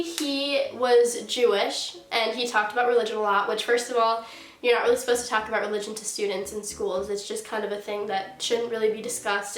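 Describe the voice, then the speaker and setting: A young woman in her late teens with a stuffy, congested nose, casually telling a storytime vlog and saying "like" often. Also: mid-range voice. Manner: chatty, heavy use of "like". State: stuffy nose from a sinus infection. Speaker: YouTuber. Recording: roomy echo.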